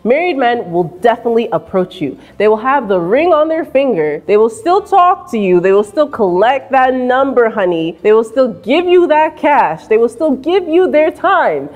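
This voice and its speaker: A woman in her twenties with an American accent, giving sassy, animated advice straight to camera in an indoor room. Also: moderate pace, hardly any pauses. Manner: sassy and animated, mimics voices in high squeals. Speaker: vlogger. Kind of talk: direct-to-camera advice vlog. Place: indoor room with painting behind.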